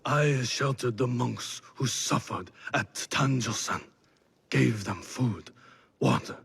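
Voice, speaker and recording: An actor playing a weary, remorseful leader in a video game, speaking gravely with a husky, gravelly voice and halting delivery. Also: male voice, medium pitch, fairly bright tone, exaggerated voice. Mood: grave, sorrowful. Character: weary, remorseful, solemn male leader doubting his cause. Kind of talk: dramatic video game acting.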